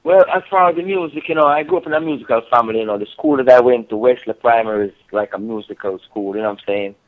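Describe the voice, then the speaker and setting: A man with a Jamaican accent, a reggae singer being interviewed, talking in a relaxed way with lots of "you know" and "yeah, man". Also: medium pitch, quick pace, narrow, tinny audio. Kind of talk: casual interview over a call.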